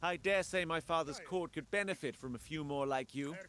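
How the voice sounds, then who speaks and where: An actor playing a smooth-talking Persian prince courting an ally in a video game. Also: male voice, on the high side, brisk, crowd noise, natural voice. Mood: suave, insinuating. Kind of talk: dramatic video game acting.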